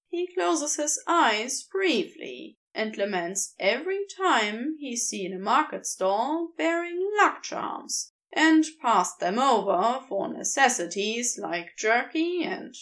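Podfic reader: woman, slow measured pace, lively pitch, fairly high voice. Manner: measured expressive storytelling. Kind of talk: fanfiction audiobook narration.

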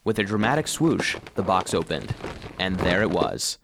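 A man's voice: low voice, very animated, moderate pace, creaky, background noise, heavily acted. A man playing an earnest, eager cleaning robot who narrates his own story expressively in a children's audio drama. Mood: excited, hushed.

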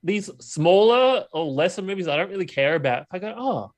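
A man co-hosts a podcast in loose, casual conversation. His voice sits a little higher than most men's, and he talks as if chatting with a friend. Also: expressive, a little fast. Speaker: podcast co-host. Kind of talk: casual chat.

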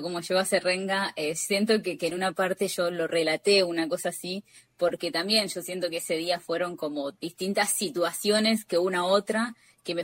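A woman with an Argentine accent talking casually and reflectively as an interview guest, often halting and repeating words. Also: fairly low pitch, no echo.

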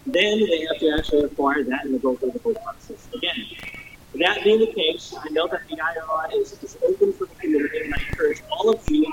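Mayor guy in a debate, kinda high voice, lots of uh and restarts, noisy recording. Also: far from the mic. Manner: halting, many uh fillers and restarts. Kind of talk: debate answer.

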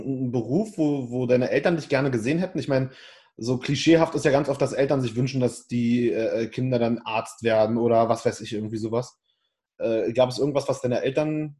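A man's voice: mid-range voice, quick pace, dark tone. A man hosting a casual interview, asking loose, chatty questions. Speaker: podcast host.

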